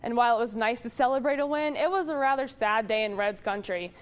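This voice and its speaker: A woman television sports reporter delivering scripted highlights and team news. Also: quick pace, smooth.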